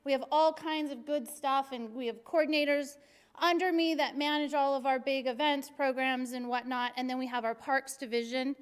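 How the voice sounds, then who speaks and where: A middle-aged woman from Arizona, a city recreation superintendent with a very high-pitched voice, giving an energetic, joking presentation to a class. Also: moderate pace. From Arizona, USA. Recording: long hall reverb.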